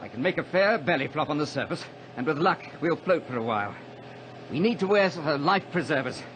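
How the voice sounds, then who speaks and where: A man playing a capable small-plane pilot who fights to stay calm, in a radio adventure drama. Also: a little high, a little fast. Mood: controlled, reassuring. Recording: noisy vintage radio drama recording.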